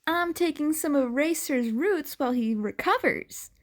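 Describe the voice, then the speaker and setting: A woman's voice: high-pitched, animated, measured pace, voice pushed up. Woman reading a laid-back male hero. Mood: casual. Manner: casual, easygoing. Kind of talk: fanfiction audiobook dialogue.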